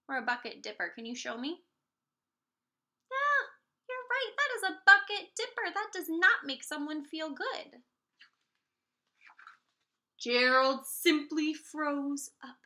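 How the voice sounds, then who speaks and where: Casting: female preschool teacher, storybook read-aloud, slow and expressive, high-pitched, prompting kids with questions. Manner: expressive, drawn-out words, prompting children with questions.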